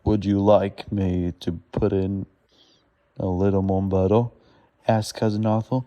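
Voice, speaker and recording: A man reads a children's storybook aloud haltingly, stumbling over words and correcting himself as he goes. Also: sits low, little pitch movement, mellow. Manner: stumbling, laboured reading with self-corrections.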